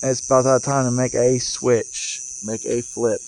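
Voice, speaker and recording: A man's voice: mid-range voice, flat delivery, a little mellow, a little hiss or hum, low-quality recording. Musing man outdoors with insects chirping. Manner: casual, musing, phrases broken by pauses. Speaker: vlogger and spiritual guide. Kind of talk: rambling off-the-cuff spiritual monologue. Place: outdoors, sitting in a rocking chair. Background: cicadas or crickets.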